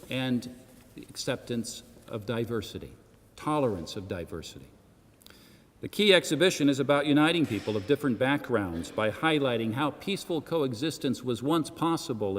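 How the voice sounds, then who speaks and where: A man delivering a formal public speech with measured, emphatic oratorical phrasing and the occasional rhetorical aside. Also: medium pitch. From Senegal. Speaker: arts organisation president giving a launch speech. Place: church hall, addressing a gathering. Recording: long echoing reverb of a large hall.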